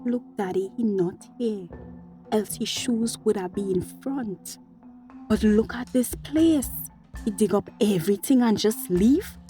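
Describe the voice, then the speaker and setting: A woman's voice: medium pitch, a little slow, dark tone, faint music behind, natural voice. A woman playing a worried, outspoken grieving daughter in a radio drama, speaking insistently in Tobagonian dialect. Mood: tense.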